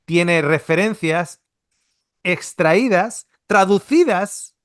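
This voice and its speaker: A man leads a Bible seminar, talking things through with plenty of restarts and "you know?" checks. His voice sits a little high for a man. The sound is dry, with hardly any room around it. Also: expressive, very slow, a bit bright, no echo. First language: Spanish. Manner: explanatory, conversational, with many restarts and rhetorical questions. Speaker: Bible teacher. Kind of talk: seminar teaching. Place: Australia.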